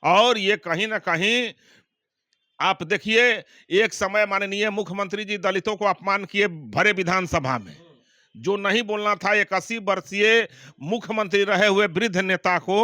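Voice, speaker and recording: A forceful, high-pitched man answers as a political spokesman. His delivery is accusatory and repetitive, in a dry, close recording. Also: normal speed, no echo.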